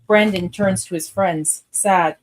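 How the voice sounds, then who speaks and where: A woman's voice: medium pitch, steady tone, takes it slowly. Woman reading out script stage directions as narrator, mid-range voice.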